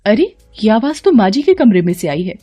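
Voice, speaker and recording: A woman's voice: medium pitch, sing-song, brisk, dark tone, slightly rough, soft background music. A woman voicing a caring, anxious young daughter-in-law, speaking earnestly and respectfully. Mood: alarmed.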